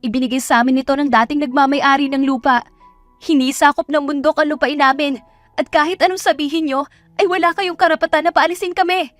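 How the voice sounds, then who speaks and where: A woman acting a feisty, indignant young farm woman who speaks forcefully in an audio drama. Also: high-pitched, fairly even intonation, a little fast, no room sound, soft background music, voice pushed up, close to an everyday voice. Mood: angry, defiant. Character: feisty, defiant 25-year-old village farm woman. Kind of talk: Tagalog audio drama dialogue.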